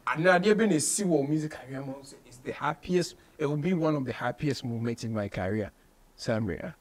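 A man's voice: medium pitch, a little fast. A Ghanaian-accented man in his thirties hosting a casual studio interview, mixing English with local slang and phrases. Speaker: radio show host, interviewer. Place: small radio studio office, indoors.

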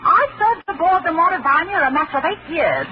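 A bustling, emphatic ship stewardess in an old-time radio drama. Her voice sits high and swoops widely. The recording is dull and lo-fi with a steady hiss behind it. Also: fairly quick. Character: chatty middle-aged ship stewardess, brisk and sure. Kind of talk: 1940s radio acting.

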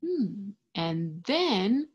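A young woman reading a picture book aloud to small children, expressively and deliberately, pausing to explain and ask simple questions. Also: medium pitch, husky, highs cut off. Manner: expressive, deliberate, child-directed teacher talk. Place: indoors in front of a green screen.

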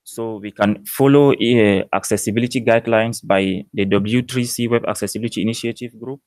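A young man with a Cameroonian accent giving a technical conference presentation in a measured, explanatory way. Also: lowish voice, steady tone, a little mellow, no echo, studio quality. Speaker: PhD student presenting research. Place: indoor presentation room.

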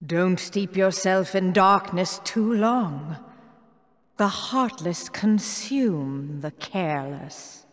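A cold, imperious sorceress villain for an animated game. Her voice is on the low side and measured, stern and ominous, ringing in a long reverb.

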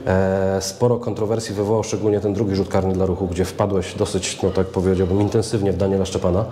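A man's voice: low-pitched, flat delivery, quick pace, a crowd in the background. A young man interviewing a footballer, asking long, winding questions. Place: club media room, indoors. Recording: echoey room with long reverb.

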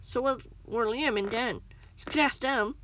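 A woman's voice: a little high, unhurried, fairly bright tone, pitched above the performer's own voice, rough recording. A woman voicing a frightened but defiant male cat in a story, nervous and pleading, with gasping delivery.

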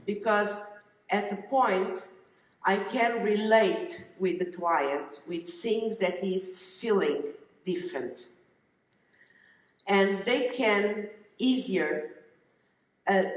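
A woman in her fifties from Angola, speaking English on a conference panel, deliberate and careful, with phrasing. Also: mid-range voice. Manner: slow and deliberate, non-native phrasing. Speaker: relocation consultant on a conference panel. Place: large conference hall stage. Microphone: headset mic. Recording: roomy hall with long reverb.

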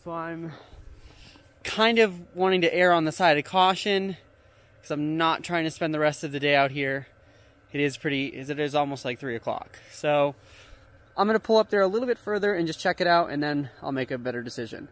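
A young man chatting casually as he drives a pickup truck, thinking aloud in a relaxed way. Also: fairly quick. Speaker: vlogger. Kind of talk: casual driving vlog narration. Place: inside a pickup truck cab in the snow. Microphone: mounted dash camera. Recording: loud car noise in the cab. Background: loud engine and road rumble.

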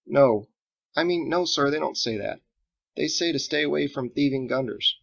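Man voicing an earnest farm boy, pitched up. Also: moderate pace, vocal fry, fairly bright tone, dull audio. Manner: hesitant, naive, plain-spoken.

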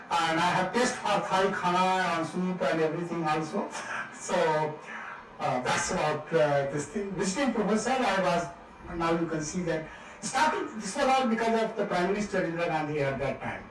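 A man's voice: high-pitched, lively intonation, moderate pace. Older indian guy lecturing in a big hall, jokey, slips into hindi, sounds far off and roomy. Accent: Indian English. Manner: jovial, mixing Hindi into English, laughing at own jokes. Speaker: professor giving a guest lecture. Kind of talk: lecture with humorous asides. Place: large seminar hall. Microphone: room mic from afar. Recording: echoey hall, distant mic.

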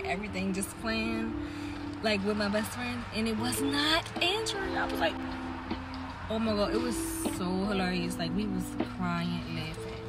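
A young American woman chatting casually and playfully to her phone for an informal vlog. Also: mid-range voice. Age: young adult. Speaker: vlogger. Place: inside a car and outdoor parking lots. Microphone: phone at arm's length. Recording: loud music over voice, vehicle noise.

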